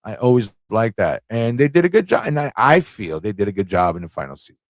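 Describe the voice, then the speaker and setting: A man's voice: lowish voice, big pitch swings, fast, no echo, clean studio sound. A man hosting a livestream fan chat, talking in a rambling, informal way with frequent rhetorical tag questions. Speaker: livestream talk show host.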